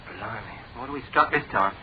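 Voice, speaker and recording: A man's voice: on the low side, lively intonation, a little fast, a crowd in the background, lightly acted. A man playing an eager, cheeky young detective's assistant in a radio drama, with a British accent and an informal, chirpy manner. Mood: eager, surprised. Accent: British English. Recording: tinny, band-limited old radio recording, background babble.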